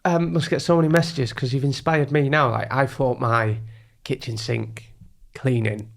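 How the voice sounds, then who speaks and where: A man from North East England co-hosting a podcast, excitable and rambling with gushing enthusiasm. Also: medium pitch, moderate pace, vocal fry. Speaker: podcast co-host. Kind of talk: casual podcast chat.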